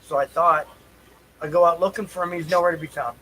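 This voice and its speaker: A man telling a casual, rambling story on a livestream in a halting, repetitive, folksy way. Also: on the high side, steady tone, sharp tone, a little hiss or hum, poor audio. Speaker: livestream host. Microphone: stream microphone.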